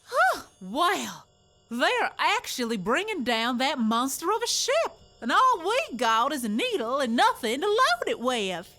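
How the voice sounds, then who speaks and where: Woman acting a high, flirty action heroine. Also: wildly swooping pitch, measured pace, pushed and bright, faint music behind, exaggerated voice. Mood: sly. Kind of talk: audio drama acting.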